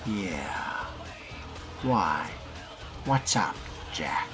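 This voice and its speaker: A man playing a newly turned vampire in a video game role-play, guarded and terse, with a measured, questioning manner. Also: sits low, sing-song, shouting, music in the background, full character voice. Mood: guarded, curious.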